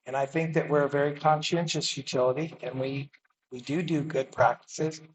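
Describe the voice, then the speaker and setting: Halting man defending his utility. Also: medium pitch, fairly even intonation, background noise. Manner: defensive, halting, repeats words. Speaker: utility representative. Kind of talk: public meeting response. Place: public meeting hall.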